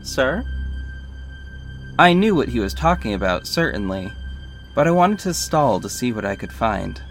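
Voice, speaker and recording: A man's voice: mid-range voice, sing-song, measured pace, faint music behind. A man playing a guarded, wry agency owner who narrates an audio drama in the first person and speaks its dialogue.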